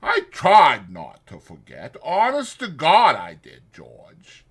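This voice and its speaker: A man's voice: fairly high pitch, wildly swooping pitch, slow pace, a bit bright, husky, voice pushed up, exaggerated voice. A man voicing a simple-minded, eager, forgetful working man, hesitant, repetitive and naive, in a dramatized audiobook. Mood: pleading.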